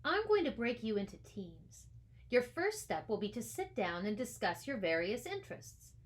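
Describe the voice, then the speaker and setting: A woman voicing a brisk, encouraging schoolteacher in a storybook, addressing a class firmly and deliberately. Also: on the high side, sing-song. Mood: firm, confident.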